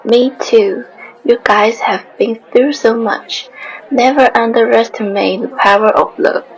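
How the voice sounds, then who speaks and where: A woman playing a gracious, forgiving queen in an amateur radio drama, with a husky voice. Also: on the high side, steady tone, unhurried, dull audio, some chatter behind.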